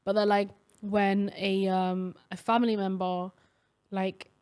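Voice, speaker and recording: A woman's voice: medium pitch, level tone, measured pace, darkish tone, smooth. A young woman from Somalia co-hosting a casual podcast chat, talking in a chatty way with many fillers and restarts. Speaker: podcast co-host.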